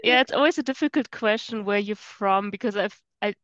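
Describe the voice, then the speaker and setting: A woman being interviewed over a video call, speaking thoughtfully with hesitant pauses and fillers. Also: medium pitch, fairly bright tone, no room sound. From Germany. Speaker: guest. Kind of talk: casual interview chat. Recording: muffled dry video call.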